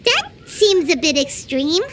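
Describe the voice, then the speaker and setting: A woman's voice: extremely high pitch, sing-song, measured pace, fairly bright tone, slight background noise, exaggerated voice. A woman voicing a tiny, bubbly, curious fairy companion in an anime video game. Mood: curious, puzzled. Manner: bubbly, refers to self in third person.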